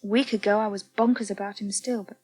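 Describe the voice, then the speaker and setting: A woman's voice: medium pitch, steady tone, fast, a little mellow, no room sound, close to an everyday voice. An actress playing a withdrawn, shaken young woman in a naturalistic radio soap, hesitant and subdued. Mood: numb, sad.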